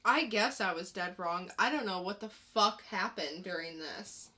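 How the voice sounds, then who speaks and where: A woman's voice: mid-range voice, a little slow, bright, forceful tone. A woman co-hosting a podcast, reviewing comics in a casual, blunt, sweary manner. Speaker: podcast co-host.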